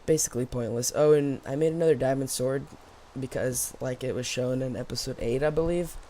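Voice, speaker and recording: A man with a slightly hoarse voice giving casual, rambling, unscripted let's play commentary as host of a gaming video. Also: mid-range voice, a little fast, mellow. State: slightly hoarse after losing voice from yelling.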